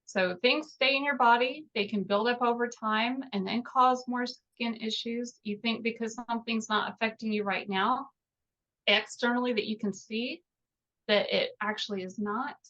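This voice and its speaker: A woman in her mid-forties explaining things as an interview guest, often pausing to find her words. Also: on the high side, fairly even intonation, a bit bright, highs cut off. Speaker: podcast guest, natural skincare product maker. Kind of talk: interview talk explaining products.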